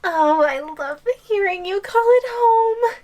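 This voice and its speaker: Slow, high-voiced yandere giantess acted by a woman. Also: lively intonation, a little mellow, heavily acted. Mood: gloating. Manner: sing-song taunting with giggles and drawn-out threats. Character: possessive giantess girlfriend, playful, menacing, gleefully taunting. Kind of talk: ASMR audio roleplay acting.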